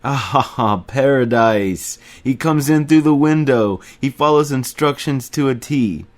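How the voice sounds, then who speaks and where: A man voicing a boisterous young Frenchman who shouts and roars with laughter, well above his own pitch. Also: measured pace, lightly acted. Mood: hilarious, shouting.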